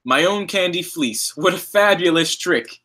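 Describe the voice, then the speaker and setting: A sly old wolf from a children's picture book, gleefully plotting his next meal, as a man reading aloud puts him on. He sounds thoroughly pleased with his own cleverness. The tone is bright and forward. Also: high voice, lively intonation, measured pace, voice pushed up, exaggerated voice. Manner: sly, self-satisfied, gleeful scheming. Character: wily, boastful old male wolf.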